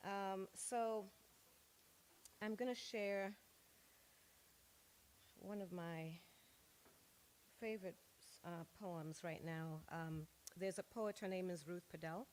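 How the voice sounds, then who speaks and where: A woman's voice: lowish voice, slow, long pauses, darkish tone, a little hiss or hum. A woman from Zimbabwe whose first language is Shona, performing spoken word poetry at an open mic and leading a rhythmic chanted refrain. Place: small music club stage.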